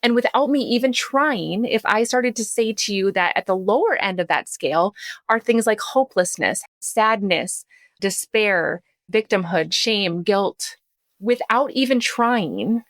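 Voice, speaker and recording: A woman's voice: mid-range voice, lively intonation, a little slow, no room sound. A woman speaking as a podcast guest and coach, explaining things in an emphatic, conversational way and checking that listeners follow. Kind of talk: animated explanatory talk.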